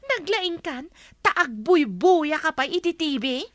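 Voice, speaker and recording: A woman's voice: sits high, lively intonation, slow pace, no room sound, studio quality. A woman with a Filipino accent acting an exasperated schoolteacher wife who nags and scolds in a radio drama. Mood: annoyed scolding. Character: exasperated nagging schoolteacher wife, the household breadwinner. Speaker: actor in an audio drama.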